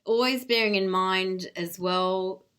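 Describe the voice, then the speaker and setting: A woman's voice: medium pitch, slow pace, a bit bright, smooth. A woman giving an informal instructional presentation as a professional, explaining things in a plain-spoken, direct way. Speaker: legal professional presenting security tips.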